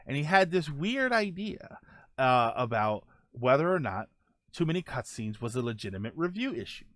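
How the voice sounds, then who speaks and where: A man's voice: mid-range voice, sing-song, moderate pace, a bit bright, no echo. A man hosting a casual podcast, reading viewer topics and tweets aloud with many ums and restarts. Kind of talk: casual solo podcast chat.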